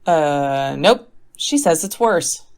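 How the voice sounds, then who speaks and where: Woman acting a weary, exasperated teacher, slightly low. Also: expressive, takes it slowly, a little mellow, exaggerated voice. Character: tired, exasperated woman teacher, sensible and dry. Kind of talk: comic phone-call audio sketch, conversational.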